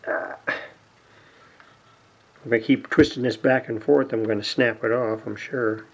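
A man giving unscripted, casual narration of hands-on repair work, halting and muttering to himself as he goes. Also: medium pitch, steady tone, gravelly, highs cut off. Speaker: hobbyist radio repairer, video host. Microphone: camera mic near the workbench.

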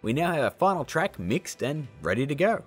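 A man's voice: on the high side, big pitch swings, a little fast, slightly rough, soft background music. A man presenting a casual how-to tutorial in an upbeat, chummy manner. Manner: upbeat, chummy, addressing viewers as guys and gang. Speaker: tutorial host.

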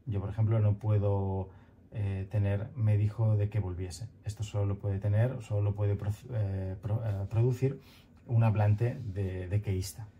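A man in his thirties giving a recorded grammar lecture over slides, talking in a thoughtful, halting way with many fillers and restarts, from a small room at home on a headset. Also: on the low side, highs cut off, slight background noise. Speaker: grammar teacher.

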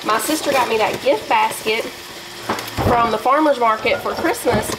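A woman, chatting homey and relaxed to viewers while she cooks in her home kitchen. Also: a little high, moderate pace, noisy background. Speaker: home cooking vlogger and mother. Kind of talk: casual cook-with-me narration.

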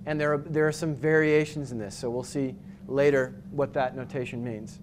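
A man from New England giving a conference talk with slides, explaining step by step with frequent pauses and restarts. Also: medium pitch, steady tone, slight background noise. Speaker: conference speaker. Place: hotel conference room with projector screen.

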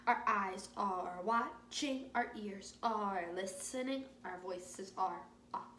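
A young woman telling stories to small children as a teacher, excited and expressive, with dramatic pauses. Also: mid-range voice. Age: young adult. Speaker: teacher hosting a children's story time. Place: indoor living space at home. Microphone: phone or camera a few feet away. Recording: roomy home recording with faint noise.

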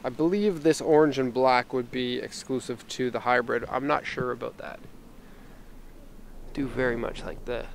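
A middle-aged man giving a casual, unscripted car walkaround, relaxed and thinking aloud, recorded on a handheld camera. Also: mid-range voice. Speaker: car exporter presenting a vehicle. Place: outdoors by a parked car and inside it. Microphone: handheld camera microphone. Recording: outdoor handheld camera, clear ambient noise.

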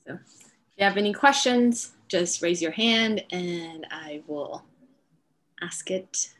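A woman's voice: fairly low pitch, expressive, slow pace, a bit bright. A middle-aged woman moderating an online question-and-answer session as host, on a video call with a headset. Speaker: host, writer and director. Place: indoor room, video call. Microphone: video call, wearing headset.

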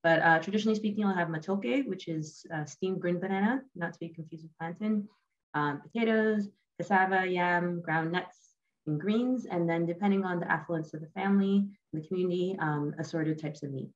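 A woman's voice: fairly low pitch, normal speed, dull audio. A woman from Uganda walking through her slides in a casual presentation on a video call.

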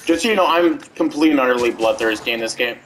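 A man's voice: medium pitch, very fast, a crowd in the background, rough recording. A man streaming a video game, chatting casually and teasing his friend. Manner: playful, teasing, bursts of silly voices. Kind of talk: casual gaming chat with a friend.

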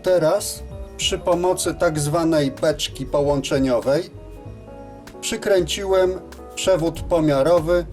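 A man's voice: on the high side, takes it slowly, music in the background. A man giving scripted, methodical and matter-of-fact instructional narration as the presenter of a technical tutorial.

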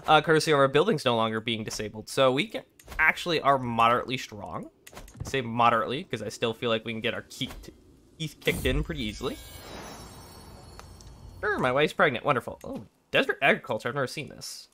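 Sick young man, raspy game commentary. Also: medium pitch, expressive, brisk, sharp tone, slight background noise. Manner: rambling, thinking aloud, frequent throat clearing. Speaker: gameplay commentator. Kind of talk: casual let's play commentary.

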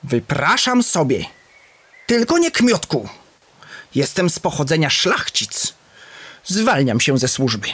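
A man voicing an indignant young male warrior officer of noble birth, quick and high-strung. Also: very animated, fairly bright tone, breathy edge, no echo, heavily acted.

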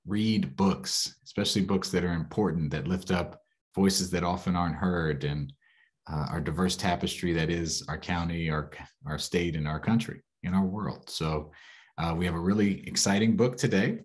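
A middle-aged American man reading a picture book aloud with casual asides, in an expressive, unhurried way. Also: sits low. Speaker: guest story reader, local councilmember. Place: small indoor room, seated at a desk.

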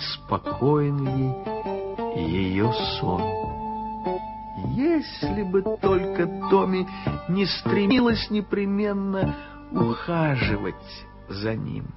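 A man narrating a 1980s radio play in a measured storytelling manner. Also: a little high. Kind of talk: scripted literary narration. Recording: lo-fi archival radio recording with music.

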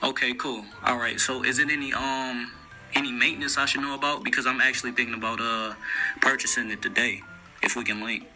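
A man's voice: mid-range voice, fairly even intonation, a little fast, pushed and bright. A young man making a casual prank phone call, talking in slang and haggling in a needling way. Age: young adult. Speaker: prank caller. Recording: music behind the voice.

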